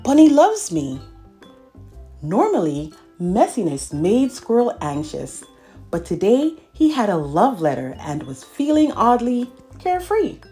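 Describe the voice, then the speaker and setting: A woman reading a picture book aloud to children in an expressive, animated way, with friendly asides to the listeners. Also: medium pitch, measured pace, soft background music.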